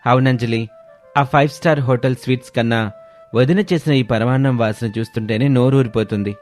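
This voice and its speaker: A man's voice: mid-range voice, a little fast, darkish tone, lightly acted. Man playing honest family husband, music behind. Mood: earnest, admitting fault. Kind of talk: scripted story dialogue.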